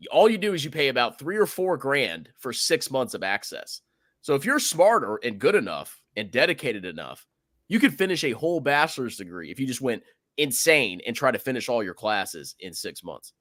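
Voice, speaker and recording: A man with a deep voice, hosting a casual chat. His pitch swings widely and his tone is bright and forceful. The sound is close and dry. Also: fairly quick, no echo. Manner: joking, laughing often, animated. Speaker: host.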